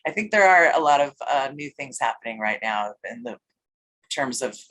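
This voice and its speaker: A woman's voice: very deep voice, expressive, moderate pace, a bit bright. A woman giving casual answers as an interview guest. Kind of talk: casual chat.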